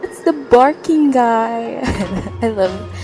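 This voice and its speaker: Female game streamer, fairly high voice, unhurried, teasing, casual reactions, music behind. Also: lively intonation. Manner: playful, teasing. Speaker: livestreamer playing a game.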